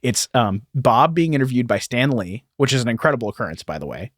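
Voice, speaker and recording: A man's voice: medium pitch, sing-song, fast talker, dry recording. Man explaining in a dry, close recording. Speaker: podcast co-host. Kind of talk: casual explanatory chat with some reading aloud.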